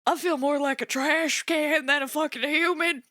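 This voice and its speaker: A woman livestreamer chatting casually and candidly to her viewers, swearing freely and repeating herself for emphasis, in a versatile but slightly rough voice. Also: steady tone, moderate pace, sharp tone, no echo, studio quality. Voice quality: versatile voice, swinging between low and high. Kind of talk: casual rambling chat to an audience.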